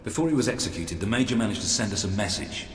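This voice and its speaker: An actor with a British accent playing a cocky, irreverent, wisecracking soldier in a fantasy video game, sardonic in manner. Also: male voice, lowish voice, fast talker, slightly rough. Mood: wry, determined. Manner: sardonic, animated. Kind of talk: dramatic game dialogue.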